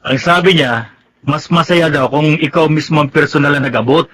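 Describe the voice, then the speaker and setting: An actor playing a brash, joking soldier with a cheeky, animated manner and a Filipino accent in a radio drama. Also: male voice, on the high side, normal speed, slight background noise, close to an everyday voice. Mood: teasing. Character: brash, joking soldier buddy, ten years in service.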